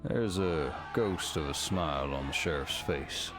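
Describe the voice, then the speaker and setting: A man's voice: extremely low pitch, a little slow, music in the background. A man narrating a scripted second-person story for a visual novel game.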